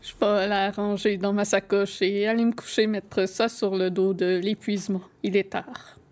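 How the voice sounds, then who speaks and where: A woman's voice: medium pitch, level tone, moderate pace, darkish tone. A woman playing a tabletop game, thinking aloud and hesitant. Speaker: player. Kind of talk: casual game play.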